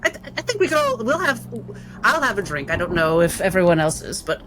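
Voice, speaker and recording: A woman's voice: medium pitch, big pitch swings, brisk, a crowd in the background, close to an everyday voice. A woman voicing a doctor who puts on a breezy, slightly haughty act in a tabletop game. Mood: feigned bewilderment. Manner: performative, theatrical.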